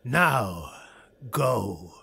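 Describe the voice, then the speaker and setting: A man voicing a cold, commanding, vengeful elf lord with an imperious, forceful delivery, for an audiobook. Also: lowish voice, sing-song, extremely slow, creaky, a little hiss or hum, full character voice. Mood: grim resolve.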